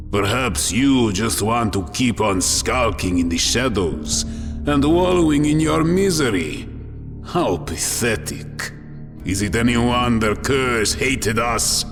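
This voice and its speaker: An actor playing a cruel, mocking space marine who taunts with a sneer in a dramatic audio story. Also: male voice, mid-range voice, vocal fry. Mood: contemptuous. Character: cruel, mocking, sadistic male Night Lord space marine. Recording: long cavernous reverb, music underneath.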